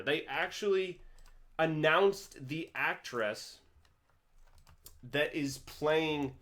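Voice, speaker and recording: A man giving a casual commentary vlog, rambling with many restarts and swinging his pitch and loudness animatedly. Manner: rambling, with frequent restarts and stumbles. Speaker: vlogger reviewing a TV series.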